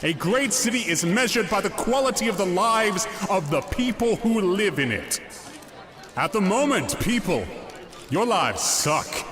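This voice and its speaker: Male actor as bombastic ex-criminal mayoral candidate; higher, sweeping voice, deliberate oratory, audio drama. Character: Oswald Cobblepot, reformed criminal mastermind turned bombastic mayoral candidate. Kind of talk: campaign speech in fan audio drama. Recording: outdoor crowd noise behind.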